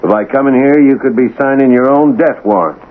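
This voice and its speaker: An actor playing a blunt, cynical homicide lieutenant with a hard-boiled, skeptical manner, in a 1980s radio drama style. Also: male voice, mid-range voice, brisk, natural voice. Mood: cynical, pressing. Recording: tinny, noisy old radio broadcast.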